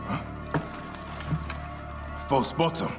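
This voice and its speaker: A man playing a solemn, vengeful warrior and grieving father in a cinematic video game. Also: drawn-out words. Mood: brooding, intent. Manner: solemn, brooding, bursting into fierce outrage. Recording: reverberant hall, music underneath. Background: music.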